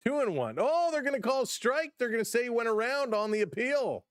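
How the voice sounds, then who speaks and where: A man hosting a casual live-stream baseball play-by-play, chatty and upbeat as he greets viewers, his voice slightly hoarse from overuse. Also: very high voice, sing-song, brisk, dry recording, studio quality. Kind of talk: casual play-by-play with chat reactions.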